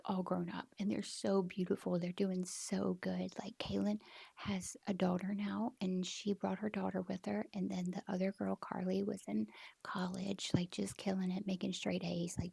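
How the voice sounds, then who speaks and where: A woman with a drawl tells a personal story from her office, her voice heavily gravelly and breathy-husky. She rambles casually, full of "like", often sinking to a near-whisper. Her tone sits dark and mellow, with a dry, close sound. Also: medium pitch, no echo. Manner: rambling, frequent "like", often hushed and half-whispered.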